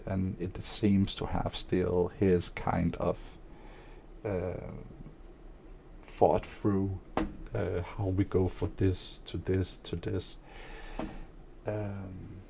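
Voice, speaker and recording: Guy talking slow and flat, kinda low voice, lots of ums, streamer rambling. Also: creaky, a little hiss or hum. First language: Danish. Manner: monotone; hesitant, many fillers. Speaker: streamer and aspiring author. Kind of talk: casual spoken monologue.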